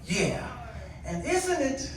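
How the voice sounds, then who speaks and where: An older man giving a conversational storytelling sermon with dramatic pauses and homely acted-out dialogue. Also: extremely high pitch, very animated, crowd noise. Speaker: guest preacher. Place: church, picked up from a distance. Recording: echoey room, distant mic, noisy background.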